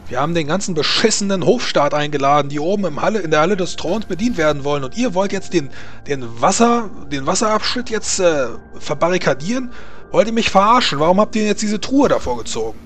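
A man's voice: sits high, animated, quick pace, voice pushed up. A man voicing a suspicious, foul-mouthed palace guard captain in a tabletop game, threatening and sarcastic. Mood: indignant.

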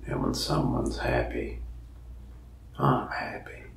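A young man speaking softly, half-whispering as a folksy, rambling mask shop salesman. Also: a bass voice, huge pitch swings, slow, vocal fry, reverberant, far from the mic. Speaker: ASMR creator playing a mask shop salesman. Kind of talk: soft-spoken ASMR roleplay. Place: indoors, small room in front of a wall of masks.

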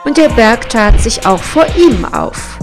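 A woman storyteller narrating a scripted fairy tale in a measured, expressive storybook manner. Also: a little high, vocal fry, faint music behind.

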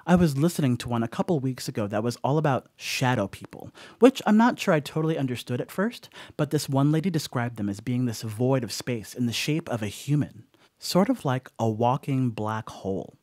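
An actor playing a sheepish young stoner house-sitter in an audio horror monologue, rambling apologetically with casual asides. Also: male voice, medium pitch, animated, fairly quick, a little mellow, dry recording, studio quality, natural voice. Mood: chatty, digressive.

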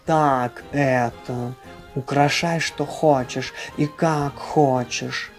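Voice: mid-range voice, steady tone, drawn-out words, faint music behind. A storybook reader voicing a grown female cat who lectures in an important, haughty way. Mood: self-important. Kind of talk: children's storybook reading.

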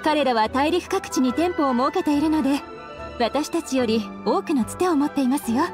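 A woman's voice: high-pitched, normal speed, natural voice. A woman voicing a polite, welcoming young female shopkeeper in scripted game dialogue. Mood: apologetic, explaining. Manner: polite customer-service speech. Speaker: game voice actor. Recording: studio voice over game music.

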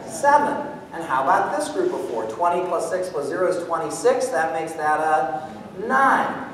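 A middle-aged man with a high voice presents a step-by-step demonstration to an audience. His animated explanation rings through a very reverberant auditorium, picked up from far off with some faint noise behind it. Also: moderate pace. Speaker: presenter demonstrating mental math. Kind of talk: live lecture-demonstration to an audience. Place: large auditorium stage, indoors. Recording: echoey hall from far off, background noise.

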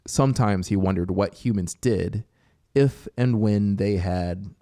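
Man, thirties, low-pitched, gravelly, dramatic deliberate story narration, close studio mic. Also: mellow, no room sound. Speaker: audiobook narrator. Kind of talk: scripted story narration over soundscape. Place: small home music studio, indoors. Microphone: close studio microphone with headphones. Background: atmospheric synth music.

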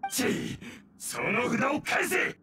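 An actor playing a treacherous, sneering masked villain in a Japanese anime game, easily rattled and prone to shouting. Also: male voice, very high voice, huge pitch swings, slow pace, heavily acted. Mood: angry. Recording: echoey, distant, noisy game scene.

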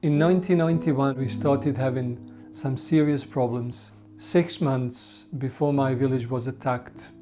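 A man from Bosnia in his forties giving calm, steady documentary interview testimony. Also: medium pitch, unhurried, few pauses, music in the background. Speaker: genocide survivor interviewee. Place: indoor room with bookshelves.

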